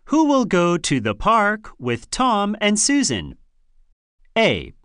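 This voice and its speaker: A woman reading scripted lines and answer options for an English listening test, slow and carefully enunciated. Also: mid-range voice, wildly swooping pitch, a bit bright, clear, resonant tone, dry recording, clean studio sound.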